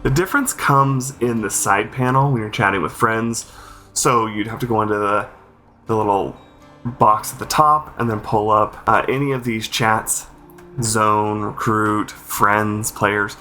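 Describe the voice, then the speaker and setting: A man's voice: on the low side, steady tone, measured pace, pushed and bright, faint music behind. A man hosting a game accessibility review, explaining things informally and thinking aloud as he goes. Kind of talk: informal spoken walkthrough and review commentary.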